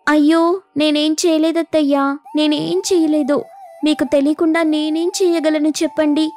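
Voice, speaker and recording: A woman's voice: high-pitched, flat delivery, normal speed, a little mellow, faint music behind. A woman playing a meek, dutiful young daughter-in-law who speaks timidly and respectfully in a cartoon moral story. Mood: pleading. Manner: deferential, timid. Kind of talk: dramatic Telugu moral story cartoon dialogue.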